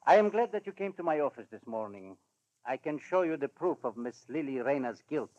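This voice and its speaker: Animated, smug Balkan official, played by a man. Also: medium pitch, natural voice. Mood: smoothly formal. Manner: formal, emphatic, hesitant pauses. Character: stern, smugly courteous Balkan government official. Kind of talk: 1940s radio acting. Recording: dull old radio recording.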